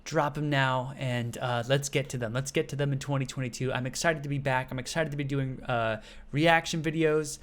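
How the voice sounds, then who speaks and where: A man hosting a casual reaction video, chatty and excitable, often breaking into laughs. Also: medium pitch, normal speed, few pauses.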